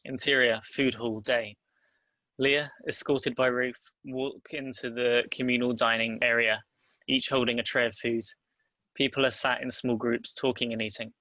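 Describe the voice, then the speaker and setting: A man's voice: medium pitch, little pitch movement, moderate pace, pushed and bright, no echo, rough recording. A man reading out stage directions as the narrator of a script table read.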